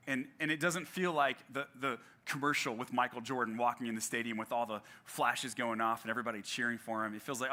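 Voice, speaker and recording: A man's voice: mid-range voice, pushed and bright. A male pastor giving a sermon in a conversational way, with frequent fillers and restarts and some self-deprecating humor.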